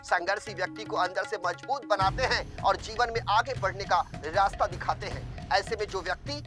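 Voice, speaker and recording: A man's voice: sits high, brisk. A man reading a scripted news bulletin as anchor, formal and emphatic. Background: music bed.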